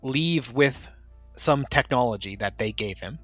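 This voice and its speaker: Game master, male, mid-pitched, dry close mic, faint music bed, explanatory. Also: animated, unhurried, a bit bright, no echo. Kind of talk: narrating a tabletop game.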